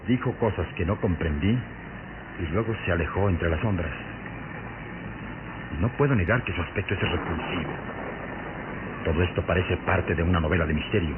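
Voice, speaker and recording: Actor as deep-voiced, skeptical police inspector. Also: male voice, natural voice. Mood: skeptical, musing. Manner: deliberate, questioning, thinking aloud. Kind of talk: radio drama acting. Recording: muffled, noisy old radio drama recording.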